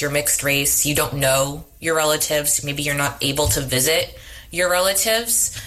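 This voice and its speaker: A woman's voice: sits low, a bit bright, poor audio. A woman, a community organizer, giving an earnest interview answer with frequent ums.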